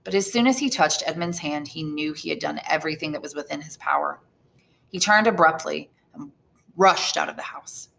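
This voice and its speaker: A woman reading a classic novel aloud as an expressive storyteller. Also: low-pitched, normal speed, creaky. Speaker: audiobook reader, English teacher. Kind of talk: expressive scripted novel narration.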